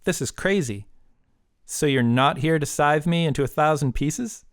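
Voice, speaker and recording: A man's voice: fairly high pitch, moderate pace, natural voice. A man voicing an anxious, incredulous young woman who pleads and protests with exasperation in an audiobook story. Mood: disbelieving.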